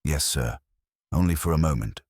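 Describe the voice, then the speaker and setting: A man's voice: extremely low pitch, normal speed, darkish tone, husky, no echo, clean studio sound. Man doing a fussy, dignified household cook. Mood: deferential. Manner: precise, deferential, slightly affronted.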